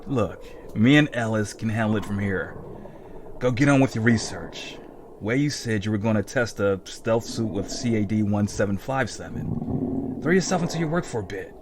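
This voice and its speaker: A man playing an unruffled, reassuring colleague in a science fiction audio drama, calm and matter-of-fact. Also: on the low side, moderate pace, noisy background, close to an everyday voice. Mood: calm, patient.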